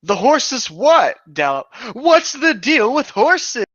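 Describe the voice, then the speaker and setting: A man's voice: extremely high pitch, big pitch swings, slow, fairly bright tone, dry recording, voice pushed up, full character voice. A man voicing a stern, urgent male fantasy guide in a dramatic story reading. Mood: worried, insistent. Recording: dull lo-fi mic.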